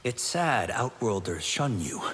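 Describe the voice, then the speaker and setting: A man's voice: lowish voice, sing-song, unhurried, fairly bright tone. A man playing an earnest, modest and brave young champion in a fighting game. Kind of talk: fighting game character dialogue. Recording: long echoing reverb, faint noise.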